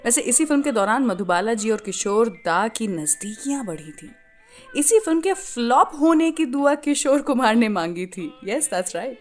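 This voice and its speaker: Woman, Indian accent, radio host, fairly high voice, lively intonation, conversational storytelling, English phrases mixed in. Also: moderate pace, soft background music. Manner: addresses listeners with rhetorical questions, mixing in English phrases. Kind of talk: scripted radio show narration, conversational storytelling.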